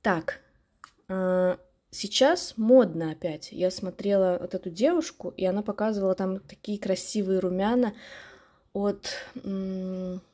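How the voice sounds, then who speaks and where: A woman's voice: medium pitch, unhurried, dark tone. A woman beauty vlogger chatting casually and nostalgically, rambling and correcting herself as she goes. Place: indoors at home, at a dressing table.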